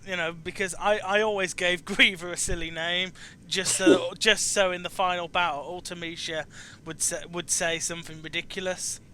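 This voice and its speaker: A man's voice: high voice, steady tone, normal speed, pushed and bright, smooth. A man hosting a casual chat about video games, stammering and often repeating the starts of his phrases. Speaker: host interviewing a guest.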